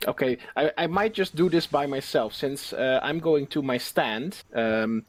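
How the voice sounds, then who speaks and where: A man's voice: mid-range voice, measured pace. A man playing a tabletop roleplaying game, casually talking through his plans and questions.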